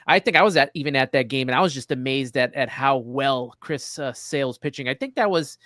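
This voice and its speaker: A man's voice: medium pitch, a little fast, sharp tone, no room sound, studio quality. A man hosting a casual sports interview, chatting as he puts questions to a guest. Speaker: podcast host.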